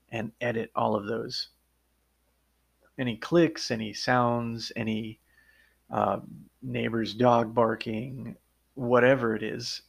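A man's voice: mid-range voice, vocal fry. A man hosting his own podcast, talking through his work as an audiobook narrator in an earnest, step-by-step conversational way. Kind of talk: casual solo podcast monologue.

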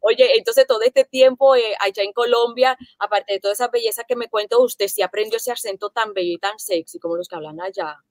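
A woman's voice: on the high side, brisk, creaky, few pauses, a little mellow, no echo. A woman hosting an interview, asking questions and making admiring remarks.

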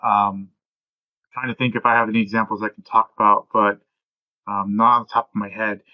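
A man's voice: fairly low pitch, little pitch movement, brisk, no echo, dull audio. A man hosting a casual interview, speaking informally and thinking aloud as he goes. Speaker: podcast host.

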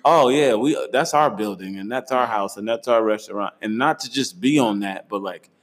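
A laid-back young man hosts a casual travel conversation. His voice sits a little low, and he talks in easy slang with frequent laughter. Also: normal speed. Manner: relaxed, slangy, laughs easily. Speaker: host.

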